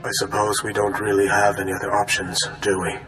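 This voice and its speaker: An actor playing a stern, wary male Jedi master in a video game, speaking gravely and with caution. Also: fairly low pitch, level tone, fairly quick, fairly bright tone, close to an everyday voice. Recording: echoing, music behind.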